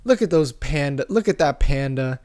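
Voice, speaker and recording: A man's voice: mid-range voice, expressive, normal speed, a little mellow, close to an everyday voice. A man voicing an oblivious puppet-show onlooker who gushes in a dreamy, admiring manner. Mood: dreamy admiration.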